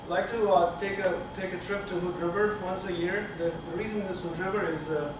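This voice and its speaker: A middle-aged man from Turkey giving a technical conference talk, halting with many fillers and restarts, recorded from the back of a conference room. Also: high voice, steady tone. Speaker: conference speaker. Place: conference room with projector screen. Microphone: room camera from the back of the hall. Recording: echoey hall, speaker far from mic, room noise.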